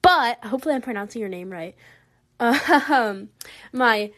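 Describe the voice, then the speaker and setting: A woman's voice: on the high side, a little slow. A young woman with a Southern American accent hosting a casual solo podcast, rambling and giggling while she draws. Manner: rambling, giggly, animated, lots of fillers. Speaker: podcast host.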